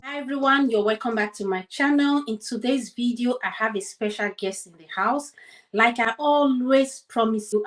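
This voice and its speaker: Friendly woman hosting an interview. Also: on the high side. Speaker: host. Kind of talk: interview, casual chat.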